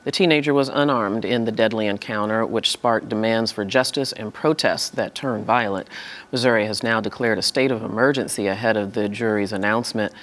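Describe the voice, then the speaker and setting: A man's voice: lowish voice, fairly quick, fairly bright tone. A man working as a news anchor, presenting broadcast news and interviews in a studio. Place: television studio.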